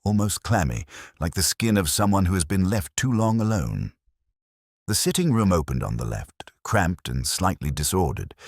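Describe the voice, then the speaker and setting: An extremely low-voiced, brooding police inspector played by a man narrates his case as a detective story. His phrasing is measured and literary, rising and falling expressively, in a dry, close sound. He comes across as melancholy and reflective. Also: a little mellow, dry recording, exaggerated voice. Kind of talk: scripted first-person detective story narration.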